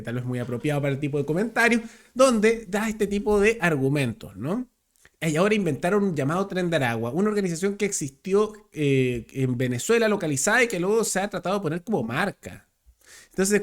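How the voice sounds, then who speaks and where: Male host, political commentary read, emphatic and ironic, slightly high-pitched.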